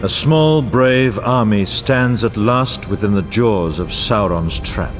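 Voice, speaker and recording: Low, solemn epic war chronicler. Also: male voice, takes it slowly. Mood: resolute, stirring. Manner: grandiose, portentous, formal delivery. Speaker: narrator. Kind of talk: scripted dramatic fantasy game narration. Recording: orchestral music bed under voice. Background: music.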